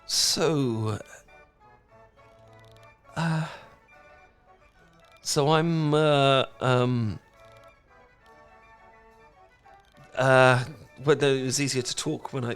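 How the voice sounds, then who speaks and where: A man's voice: mid-range voice, fairly bright tone, smooth, soft background music. A man playing an awkward, anxious, smitten British dork in a romantic ASMR roleplay, stammering and apologetic as he goes. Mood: flustered, bashful. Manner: stammering, flustered, halting, apologetic.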